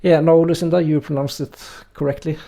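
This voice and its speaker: Relaxed Norwegian man with a gravelly voice. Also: a little high, a little fast. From Norway. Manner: relaxed, hesitant, many fillers, reads chat aloud. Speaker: streamer. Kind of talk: casual let's-play chat with chat readers.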